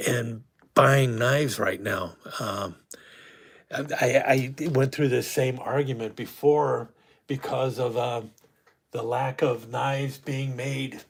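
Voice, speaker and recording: A man's voice: mid-range voice, gravelly. Guy on a live stream losing his voice, rambly, lots of ums and restarts. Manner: rambling, frequent restarts and fillers. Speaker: host. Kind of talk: casual live-stream chat.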